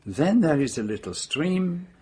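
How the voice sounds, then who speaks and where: An actor playing a courteous, methodical Belgian detective with a French-speaking accent, questioning precisely in a radio drama. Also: male voice, fairly high pitch, big pitch swings, unhurried, warm tone, slightly rough, heavily acted. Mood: courteous inquiry. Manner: polite, precise, probing questioning.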